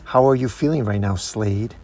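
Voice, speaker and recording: A man voicing a bitter, vengeful woman, a masked villain in a comic-book reading, husky, accusing and taunting. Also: fairly low pitch, normal speed, a little mellow. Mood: cold taunting.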